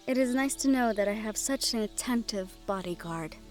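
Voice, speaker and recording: A woman playing a sheltered young noblewoman in a fantasy audio roleplay, gentle, wistful and quietly willful, with formal phrasing. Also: medium pitch, expressive, moderate pace, soft background music, close to an everyday voice. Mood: earnest, teasing. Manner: formal speech with few contractions. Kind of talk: fantasy audio roleplay acting, addressed to the listener.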